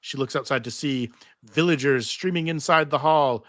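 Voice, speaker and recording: A man's voice: a little high, normal speed, a bit bright. A man reading TV script stage directions aloud at a live table read, theatrical with wry asides. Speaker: table read narrator and panel host. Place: live convention panel.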